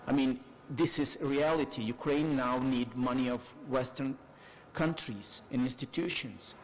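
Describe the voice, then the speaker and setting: A man's voice: mid-range voice, measured pace, poor audio. Man, from Afghanistan, panel speech, emphatic, repeats words, medium voice. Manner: earnest, emphatic, repeats words while searching for phrasing. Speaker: parliamentarian panelist.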